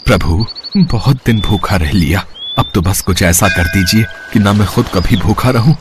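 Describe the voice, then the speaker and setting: A man voicing a kind, humble young village woodcutter in an animated story, speaking earnestly and devoutly. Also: fairly low pitch, moderate pace, background noise, natural voice.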